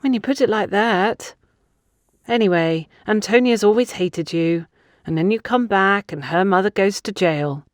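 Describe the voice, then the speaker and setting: Young woman played by a female narrator, higher-pitched, indignant and guarded, an audio mystery witness under questioning. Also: measured pace, no echo, studio quality, lightly acted. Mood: reluctant, candid. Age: young adult. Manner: accusatory, indignant.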